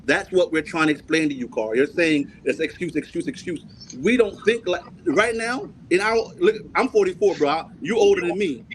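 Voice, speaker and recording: A man in his forties from Jamaica leads a heated panel debate as its host. His voice sits a little high for a man. He talks forcefully, keeps cutting in with "hold on" and repeats himself to hold the floor, over a faint hum of background noise. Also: animated, normal speed, rough recording. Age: 40s.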